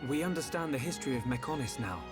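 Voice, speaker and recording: An actor playing an earnest, determined young hero with a questioning manner in a dramatic video game. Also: male voice, mid-range voice, expressive, fast, over music, close to an everyday voice.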